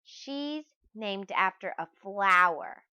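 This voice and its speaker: A woman's voice: medium pitch, lively intonation, slow, bright, forceful tone, clean tone, dry recording, lo-fi sound, full character voice. A woman voicing a mocking, snooty schoolgirl bully in a sing-song, taunting way for a storybook.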